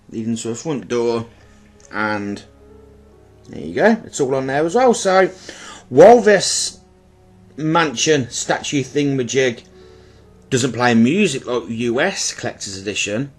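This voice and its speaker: A man with a slightly bunged-up, stuffy-nosed voice, giving informal, rambling and apologetic commentary as he presents an item in his own video. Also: mid-range voice. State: stuffy with a blocked nose. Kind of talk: casual unboxing-style product commentary.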